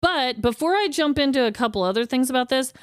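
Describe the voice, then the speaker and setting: Lively, chatty woman podcast host. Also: high-pitched, quick pace, smooth, no echo, clean studio sound. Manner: chatty and animated, laughs easily. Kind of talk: casual chat.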